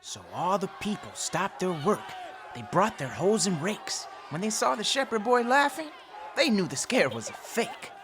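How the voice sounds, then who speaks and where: Theatrical woman storyteller, animated and rhythmic. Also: medium pitch, moderate pace, crowd noise, background noise. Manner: theatrical, expressive, emphatic. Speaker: narrator. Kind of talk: rhythmic rhyming storytelling radio drama narration. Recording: loud music behind narration.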